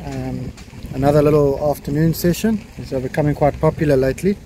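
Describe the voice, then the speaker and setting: A man's voice: a little high, brisk, a little hiss or hum, rough recording. A South African man in his thirties, chatting casually as he films a fishing trip from a boat on a lake. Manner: relaxed, chatty, laughs easily. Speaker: fishing vlogger. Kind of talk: casual fishing vlog chat. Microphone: action camera on the boat.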